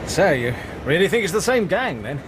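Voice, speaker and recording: An anxious police detective in a radio drama, eager to please and unsure of himself. His voice is on the high side and jumps about, over clear outdoor traffic noise. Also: very animated, moderate pace. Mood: uneasy.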